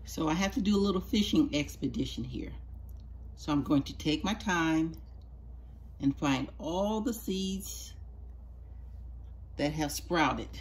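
Woman, very low pitch, casual how-to narration, homey and patient, indoor sunroom. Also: lively intonation, slow, long pauses. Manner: homey, patient, chatty explaining. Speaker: home gardener showing seed starting.

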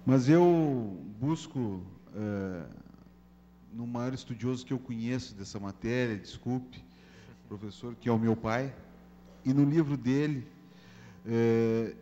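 A middle-aged man delivers a measured, formal political speech, partly read. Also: medium pitch, warm tone. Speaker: city councillor. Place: large council chamber, indoors. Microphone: gooseneck podium microphone. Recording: echoey hall, mains hum and noise.

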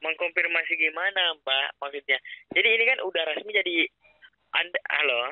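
A man making a persuasive pitch over the phone, speaking insistently with some hesitation. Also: expressive, fairly quick, no room sound. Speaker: phone caller claiming to be a prize official. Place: on a phone call. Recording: narrow, dull phone line.

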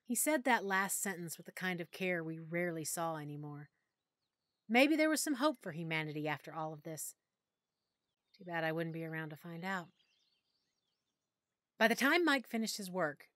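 A woman narrating an audiobook in the first person, quietly and with emotion. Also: fairly low pitch, lively intonation, moderate pace, no echo.

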